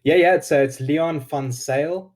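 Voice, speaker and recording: A man's voice: on the high side, measured pace, a little mellow. A man in his thirties with a South African accent, an Afrikaans native speaker, chatting as a laid-back interview guest. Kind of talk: casual interview chat.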